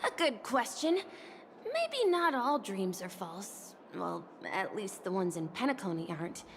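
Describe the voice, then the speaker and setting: A woman's voice: mid-range voice, sing-song, measured pace, slight background noise. A woman playing a courteous, earnest, slightly hesitant young hotel bellboy in a video game. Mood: earnest, dreamy. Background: game music.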